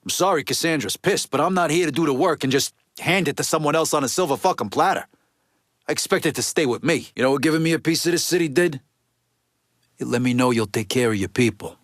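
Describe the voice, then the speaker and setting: A man's voice: fairly high pitch, fast talker, dry recording, lightly acted. Game mobster, male actor: middle-aged Italian-American tough guy, East Coast accent, street-smart, swearing freely, dry studio sound. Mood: resentful, firm. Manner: blunt, profane, street-tough wiseguy talk. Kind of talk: video game character dialogue.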